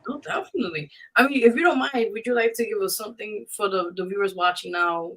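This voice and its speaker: A woman's voice: mid-range voice, fast talker, a bit bright, low-quality recording. A woman hosting a live radio interview in a casual, encouraging and effusive way. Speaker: radio show host.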